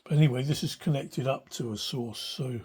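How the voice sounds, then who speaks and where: Husky older man, hesitant and thoughtful. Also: medium pitch, brisk, darkish tone. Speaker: hobbyist radio restorer. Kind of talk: informal spoken demonstration, unscripted. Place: small cluttered room indoors. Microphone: handheld phone behind the camera.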